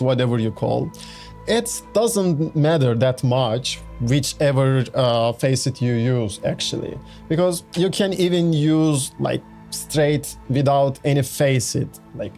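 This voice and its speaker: Young man, Turkish accent, gaming video narration, slow delivery, chatty fillers, faint background music. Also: medium pitch, darkish tone. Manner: chatty, many fillers and restarts. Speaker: gaming YouTuber. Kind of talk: casual game build walkthrough.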